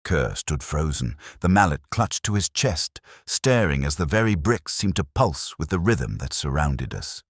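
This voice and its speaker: A man narrates a detective tale with an extremely low voice. His pitch moves expressively through measured, dramatic phrases, and the sound is dry and close. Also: sing-song, slightly rough, dry recording. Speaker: audiobook narrator. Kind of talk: scripted first-person detective story narration.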